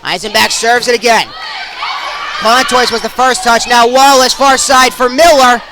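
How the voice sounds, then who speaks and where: A young man calling live volleyball play-by-play, excitable and projecting over the action. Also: very high-pitched, moderate pace. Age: young adult. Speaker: play-by-play sports announcer. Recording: crowd and gym noise behind.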